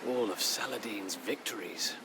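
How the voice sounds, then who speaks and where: An actor playing a weary, middle-aged former crusader knight who narrates a game's war chronicle in a solemn, measured, dramatic storytelling manner. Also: male voice, fairly low pitch, noisy background, close to an everyday voice. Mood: grave, tense. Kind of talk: dramatic scripted first-person narration. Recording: music under the voice. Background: music.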